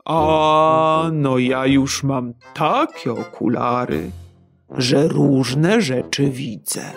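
A woman plays a kindly old man, gentle and unhurried, speaking very slowly with a lively, rising and falling voice. Music plays clearly behind this storybook reading. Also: sits low, full character voice.

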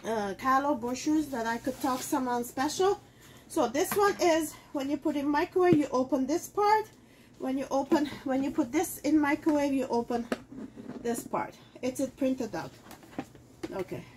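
A woman's voice: sits high, animated, moderate pace, a little mellow, a little hiss or hum, poor audio. A middle-aged woman, a native Armenian speaker, chatting casually through a cooking demo in her home kitchen, with loose phrasing and many fillers. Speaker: cooking show host selling kitchenware.

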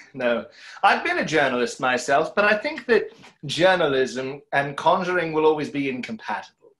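A man's voice: fairly high pitch, very animated, normal speed, a bit bright. A young man playing a suave, wry, boastful conjurer in a play reading over a video call. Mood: dry, wry. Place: room at home, video call.

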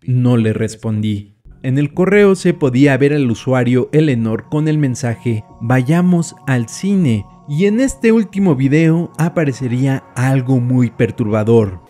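Male storyteller, scripted horror explainer, gently dark timbre, suspenseful delivery, faint music bed. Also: mid-range voice, lively intonation, a little slow. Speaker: narrator of a horror explainer channel.

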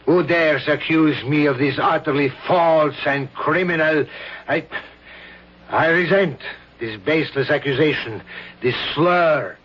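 A sinister smooth-talking official, slow deliberate delivery, slightly high voice, muffled old radio sound. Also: male voice. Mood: indignant. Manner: smooth, silky and sinister, theatrical. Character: suave scheming male court official, menacing. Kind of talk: 1970s radio drama acting. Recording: dull lo-fi vintage radio broadcast.

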